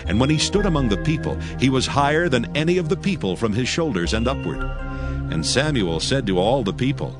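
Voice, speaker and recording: A man narrating dramatized scripture as a solemn, measured storyteller. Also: mid-range voice, big pitch swings, over music.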